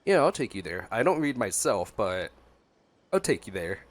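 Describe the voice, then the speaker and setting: A man's voice: on the low side, very animated, normal speed. A man giving casual, slangy, chatty reaction commentary as he plays a video game. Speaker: gaming streamer. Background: faint game music.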